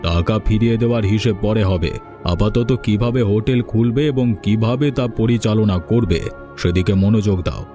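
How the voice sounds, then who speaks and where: A man voicing a well-meaning village man in a cartoon, earnest and measured. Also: lowish voice, music in the background, lightly acted. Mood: kind, supportive. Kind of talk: Bengali cartoon voice acting.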